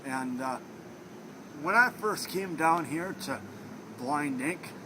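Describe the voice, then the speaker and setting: A man's voice: a little high, lively intonation, slow pace, noisy background. A young man from Minnesota with a Minnesotan accent, chatting in an interview in a rambling, friendly way with lots of fillers. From Minnesota, USA. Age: young adult. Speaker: interviewee, trainee at a blindness training center. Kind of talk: casual interview talk.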